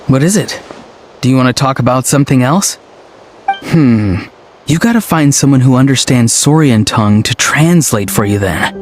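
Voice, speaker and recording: A man's voice: mid-range voice, lively intonation, normal speed, a little hiss or hum, close to an everyday voice. A man voicing an easygoing young man in a video game, talking casually.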